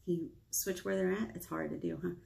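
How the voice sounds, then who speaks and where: A woman's voice: on the low side, fairly even intonation, brisk, vocal fry, a little mellow. A woman in her thirties, a children's librarian leading storytime, speaking directly to preschoolers with questions and simple explanations. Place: small indoor room at a library.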